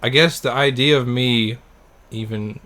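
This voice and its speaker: A man's voice: medium pitch, measured pace, very noisy. Casual male podcast co-host telling stories. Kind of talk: casual chat, anecdotes.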